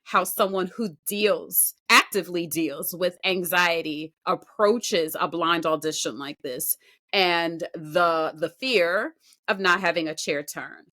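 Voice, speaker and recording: A middle-aged woman giving casual reaction commentary as a podcast co-host, expressive and emphatic. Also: lowish voice, slow. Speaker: podcast co-host reviewing a singing show.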